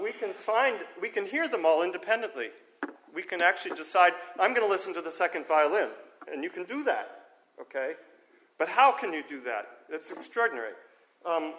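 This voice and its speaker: A man speaks into a microphone before a live audience in a lecture room, his voice a touch higher than most. He talks informally and corrects himself as he explains, pausing to ask "okay?" to keep the room with him. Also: a bit bright, roomy sound, low-quality recording. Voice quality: strong speaking voice. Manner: informal, self-correcting, frequent "okay?" checks. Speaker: conference speaker. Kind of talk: technical lecture on hearing and audio. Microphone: handheld or stand microphone.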